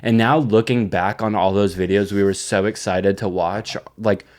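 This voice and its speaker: A man's voice: on the low side, steady tone, moderate pace, darkish tone. A young man co-hosting a podcast in casual, comedic chat with slangy exaggeration. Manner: slangy, hyperbolic. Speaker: podcast host.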